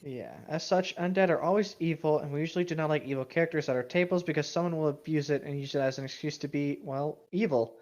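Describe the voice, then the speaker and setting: Man, fairly high voice, casual read-aloud commentary, slightly lo-fi dull sound. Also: fast, few pauses, darkish tone. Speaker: co-host reading and commenting on tabletop gaming stories.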